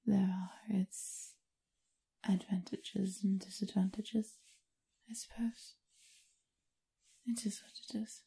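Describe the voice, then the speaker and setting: A woman playing a doting, teasing, refined vampire mistress in an intimate ASMR roleplay, whispery and husky. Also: mid-range voice, steady tone, takes it slowly, long pauses, warm tone, exaggerated voice. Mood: wry, confiding.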